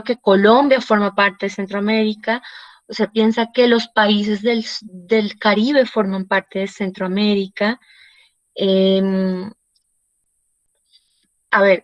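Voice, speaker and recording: A woman with a Salvadoran Spanish accent speaks as a lecturer explaining to her students. Her pitch is average, and she talks discursively, adding short tag questions to check in. The recording is dry, with little room sound, and a bit dull and lo-fi. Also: dry recording. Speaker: university lecturer. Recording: slightly dull, lo-fi audio.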